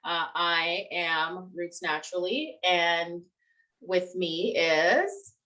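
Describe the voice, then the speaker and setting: A woman's voice: on the low side, extremely slow, bright, forceful tone, low-quality recording. A young woman co-hosting a casual game fan livestream, chatty and giggly as she interviews her co-host.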